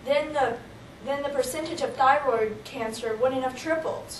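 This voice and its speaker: A woman's voice: high voice, moderate pace, lightly acted. A young teenage girl playing a weary doctor in an amateur school skit, with stiff scripted delivery. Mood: somber. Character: weary doctor lamenting radiation victims, woman. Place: small office meeting room indoors. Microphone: camera across the room. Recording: distant, noisy, echoey camcorder sound.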